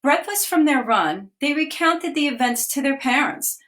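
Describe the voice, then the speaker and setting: A woman with a slightly raised voice reads a children's picture book aloud over a live video stream. She paces each line gently and clearly, stopping now and then to point out what the pictures show. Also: sits high, lively intonation, moderate pace. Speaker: children's author reading aloud. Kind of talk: storybook reading aloud. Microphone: phone or webcam on a live video stream.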